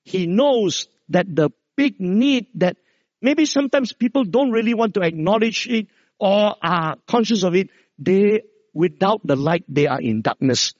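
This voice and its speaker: A middle-aged man preaching a Christmas sermon, with a loud voice and a storytelling manner, dropping low to stress key words. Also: expressive, unhurried, no room sound. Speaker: pastor. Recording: dull, dry lo-fi sound.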